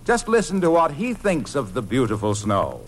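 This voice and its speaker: A man's voice: mid-range voice, wildly swooping pitch, normal speed. A man playing a genial 1940s radio announcer who sets the scene like a theatrical storyteller. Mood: genial, scenic. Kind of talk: 1940s radio scripted narration. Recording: noisy old radio broadcast recording.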